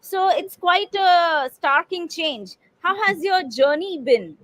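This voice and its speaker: A woman's voice: very high voice, animated, slow, fairly bright tone, no echo. A woman hosting an interview over a video call, polite and formal, with some hesitant fillers. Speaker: host. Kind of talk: interview host.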